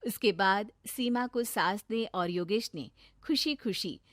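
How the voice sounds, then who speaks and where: A woman's voice: mid-range voice, takes it slowly, dry recording, studio quality. A woman storyteller reading a scripted moral tale for children.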